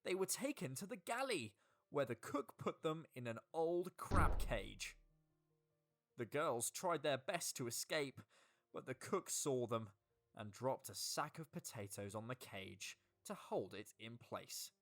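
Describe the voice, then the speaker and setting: A woman reading a children's storybook aloud in an expressive, dramatic way. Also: very deep voice, unhurried. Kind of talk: scripted children's storybook narration. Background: music.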